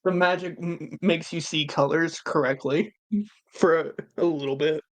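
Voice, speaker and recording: A man's voice: on the high side, steady tone, normal speed. A man playing a tabletop game, talking in casual banter.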